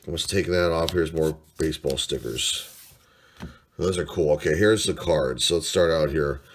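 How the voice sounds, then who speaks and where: A man's voice: a bass voice, moderate pace, slight background noise. A man in his forties with a congested, stuffy voice, rambling casually through old finds and thinking aloud with lots of fillers. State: congested from a sinus cold. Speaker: sports card collector. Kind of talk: casual show-and-tell vlog.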